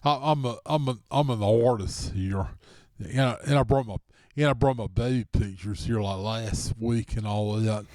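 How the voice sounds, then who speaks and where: Halting, mellow low-voiced man. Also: no echo, clean studio sound. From Florida. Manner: halting, repeats words. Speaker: show regular. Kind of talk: casual introduction and chat.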